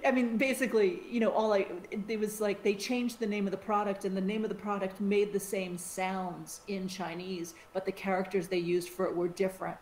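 A middle-aged woman from the United States, co-hosting a podcast in a casual, animated chat with frequent restarts and side remarks. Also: mid-range voice, a little fast, few pauses. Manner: chatty, animated, with many restarts. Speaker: podcast co-host. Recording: roomy sound with faint hiss.

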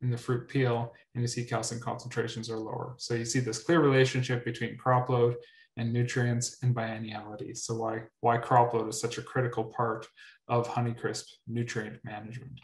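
A man's voice: mid-range voice, little pitch movement, moderate pace. A young man presenting research on a video call, repeating words often with many ums. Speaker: researcher presenting at a grower meetup. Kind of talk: webinar presentation. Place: indoor room. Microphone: webcam on video call. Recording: roomy echo.

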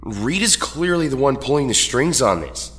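A man's voice: medium pitch, expressive, moderate pace, a bit bright, faint music behind, close to an everyday voice. A man playing an indignant, distrustful veteran superhero in an audio drama. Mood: scornful. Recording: long hall reverb.

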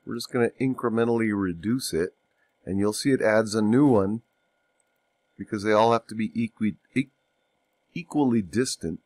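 A man getting over a cold, narrating a software tutorial over a screen recording, unhurried and thinking aloud with ums and self-corrections. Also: on the low side, no echo.

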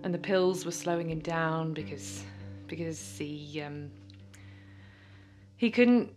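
An actress playing a bitter, scornful widow in an audio drama monologue, blunt and confessional with halting moments. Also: female voice, sits low, darkish tone, faint music behind. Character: bitter, scornful second wife, now widowed.

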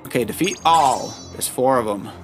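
A man's voice: medium pitch, animated, moderate pace. A man chatting casually as a dad co-hosting a video game playthrough, giving encouraging tips and suggestions. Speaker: dad co-hosting a let's play with his child. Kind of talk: casual gameplay chat and coaching. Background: loud video game music.

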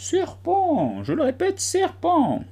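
A man voicing a shrill, paranoid pigeon guarding its eggs, lamenting and scornful by turns. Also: wildly swooping pitch, takes it slowly, warm tone, heavily acted. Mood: insistent. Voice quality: shrill, strained cries. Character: shrill, paranoid, wailing female-nest pigeon.

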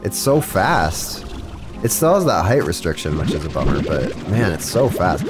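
A man's voice: deep voice, sing-song, normal speed, over music. A young man chatting casually with his live chat while playing a game, stuffed up with a cold, rambling with frequent restarts. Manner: rambling, talks to chat, self-interrupting restarts. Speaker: streamer. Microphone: studio mic with compressor.

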